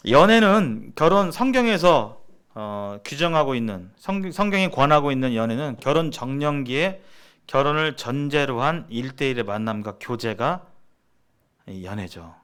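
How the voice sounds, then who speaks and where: A man's voice: medium pitch, a bit bright. A man teaches church members in a conversational, sermon-like way, explaining points and putting questions to his listeners. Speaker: church seminar speaker.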